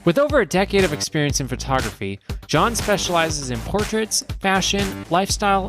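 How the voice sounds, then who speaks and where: An upbeat male podcast host reads his scripted opening and guest introduction. His voice sits fairly high and moves lively up and down. Clear guitar music plays under him. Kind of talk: scripted show intro and questions.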